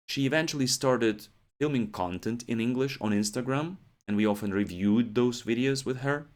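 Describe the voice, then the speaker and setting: A man, hosting a podcast English lesson and checking in with "yeah?" and "right?". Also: mid-range voice. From Ukraine. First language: Ukrainian. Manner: explains steadily, often adds "yeah?" and "right?" checks. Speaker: English teacher, podcast host.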